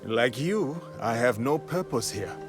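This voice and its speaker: An actor playing an earnest, loyal male warrior in a game cutscene, steady and sincere. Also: mid-range voice, measured pace, close to an everyday voice. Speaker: game protagonist. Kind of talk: video game cutscene acting. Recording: game audio with music.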